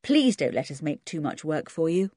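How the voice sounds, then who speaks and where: A woman's voice: deep voice, sing-song, moderate pace, warm tone, no echo, studio quality. A woman voicing a polite, sensible older schoolboy. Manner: polite, considerate.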